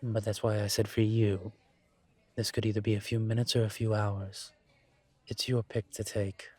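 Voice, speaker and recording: Low, measured, courteous demon investigator played by a man. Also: little pitch movement, darkish tone, no echo, lightly acted. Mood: gentle, serious. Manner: measured, considerate, hesitant pauses. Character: calm, courteous male incubus demon professor and investigator. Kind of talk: scripted audio roleplay, intimate one-sided conversation.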